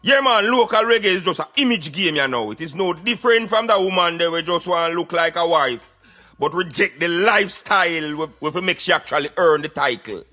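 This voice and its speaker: A man's voice: on the high side, expressive, a little fast, pushed and bright, faint music behind. A Jamaican man hosting a talk radio show, giving emphatic, preaching commentary in Patois.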